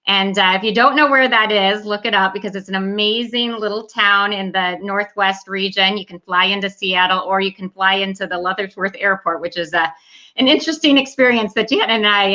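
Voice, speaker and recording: A woman host with a bright, crisp voice introduces her guest over a video call in a chatty, friendly way. Her audio comes through dull and muffled. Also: mid-range voice, normal speed. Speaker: host, travel advisor.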